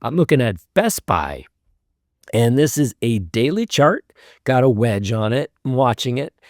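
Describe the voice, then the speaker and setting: A man with a hoarse voice from a cold, hosting a casual show where he talks through trading charts, thinking aloud in an easygoing way. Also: fairly high pitch, no room sound, clean studio sound. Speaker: host.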